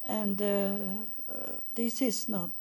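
Older Swedish-native woman, very slow and halting, dark gravelly voice, casual spiritual monologue. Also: mid-range voice, steady tone. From Sweden. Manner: halting, many pauses and uh fillers, searching for English words.

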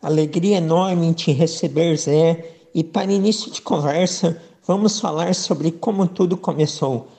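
High-voiced sports radio host. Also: male voice, normal speed, vocal fry, few pauses, warm tone. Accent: southern Brazilian Portuguese. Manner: upbeat announcer, formal introductions.

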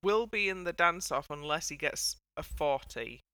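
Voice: medium pitch, expressive, normal speed, bright, forceful tone, clean tone, dry recording. A podcast host chatting casually on a panel, with a wry, wordy, mock-formal way of putting things. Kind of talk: casual panel chat about a TV dance show.

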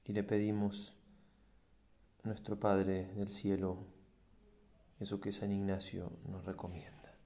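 A man, a priest leading a guided meditation in a solemn, measured way with pauses between short phrases. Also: low-pitched, gravelly, dark tone, slight background noise. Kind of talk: slow reflective guided meditation.

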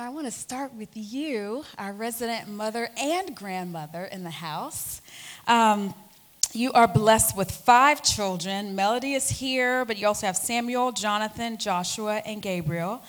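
A woman in her forties hosts a faith talk show. She tells stories about her family with earnest, animated energy and leans on fillers as she goes. She then turns warmly to introduce a guest. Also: mid-range voice. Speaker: host.

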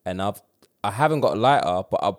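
A man with a London accent tells stories fast and with energy. His voice sits on the low side. He talks in a loose, slangy way and restarts his sentences as he goes. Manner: animated, rapid storytelling with slang and restarts. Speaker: podcast co-host. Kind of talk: casual storytelling chat.

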